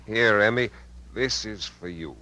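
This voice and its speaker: An actor playing a charming, generous uncle in a 1950s radio drama. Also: male voice, on the low side, slow pace, sharp tone. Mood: jovial. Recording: vintage 1950s radio broadcast.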